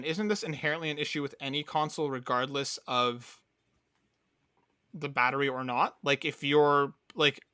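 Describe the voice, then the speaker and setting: A man's voice: fairly high pitch, bright, forceful tone, dry recording, studio quality. A man hosting a podcast, talking casually and inquisitively, often checking that others follow him. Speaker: podcast host. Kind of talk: casual discussion, posing questions.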